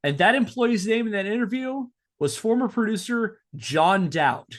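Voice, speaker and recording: Guy with a pretty high voice doing podcast storytelling, kinda playful and mock serious. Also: expressive, normal speed. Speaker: podcast host telling a story. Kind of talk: narrated storytelling with casual banter.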